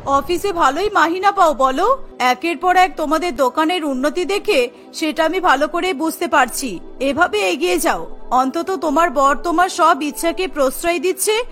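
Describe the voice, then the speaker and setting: A woman plays a money-minded cartoon housewife, eager and chatty. Her female voice is very high and bright over soft music. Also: moderate pace. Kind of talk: Bengali cartoon voice acting.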